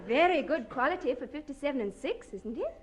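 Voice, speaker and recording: A woman's voice: sits high, lively intonation, brisk, heavy background noise. An actress with an English accent playing a sweet, blunt, naive young woman in a 1950s radio comedy. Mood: bluntly innocent. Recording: old radio recording, audience and music behind.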